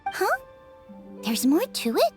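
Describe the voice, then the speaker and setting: Game fairy companion: very high, childlike, excitable, animated delivery. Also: female voice, takes it slowly, full character voice. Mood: puzzled. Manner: speaks of self in third person, excitable. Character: small chirpy childlike fairy companion, third-person talker. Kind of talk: game voice acting. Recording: music behind the voice.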